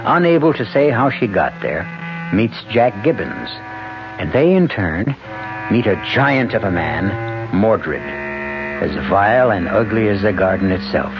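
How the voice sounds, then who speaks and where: A man's voice: on the low side, sing-song, unhurried. A man hosting a 1970s radio drama with scripted, storytelling narration. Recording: dull 1970s radio recording with music.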